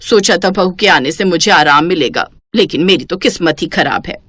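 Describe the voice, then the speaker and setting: A woman's voice: fairly low pitch, animated, moderate pace, a bit bright, slight background noise, voice pushed down. Woman acting lazy, grumbling middle-aged mother-in-law. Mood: complaining. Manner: excuse-making, grumbling, bossy. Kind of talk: Hindi cartoon moral story acting.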